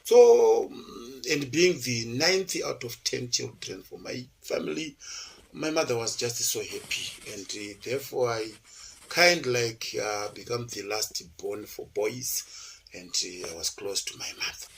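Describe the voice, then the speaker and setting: Zimbabwean lecturer, male, gravelly voice, meandering anecdotal delivery, light background hiss. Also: mid-range voice, expressive, a little slow, low-quality recording. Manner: digressive, chatty, with frequent fillers and restarts. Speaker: interview guest, lecturer. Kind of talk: relaxed storytelling interview.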